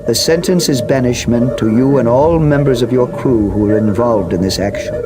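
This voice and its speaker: An actor playing an ancient, stern and calm alien machine intelligence in a video game, speaking in formal, measured proclamations. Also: male voice, mid-range voice, heavy background noise, natural voice. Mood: stern, judging. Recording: loud music under the voice.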